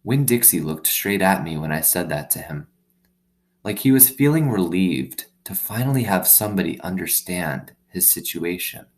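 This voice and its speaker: A man reading a children's story aloud like a teacher, pausing to explain simply and check in with little questions. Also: sits low, expressive. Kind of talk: read-aloud with explanatory asides.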